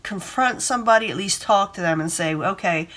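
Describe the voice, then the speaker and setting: A woman's voice: medium pitch, fairly quick, a bit bright. A woman giving a casual tarot reading while getting over a cold, breathing a bit hard and rambling with many "you know"s. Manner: rambling, repeats herself, frequent "you know". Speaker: tarot reader.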